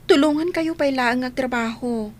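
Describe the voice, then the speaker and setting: An actress playing a determined young daughter in a radio drama, with an Ilocano accent. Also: female voice, sits high, moderate pace, close to an everyday voice. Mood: earnest, resigned. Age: young adult. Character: determined young daughter who left school to work.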